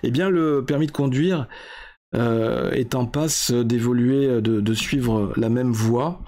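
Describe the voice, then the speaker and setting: A man's voice: mid-range voice, slow, dark tone. Chatty ironic man in his forties. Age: 40s. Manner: chatty, ironic, lots of filler hesitations. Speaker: tech show host. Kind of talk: casual tech explainer monologue.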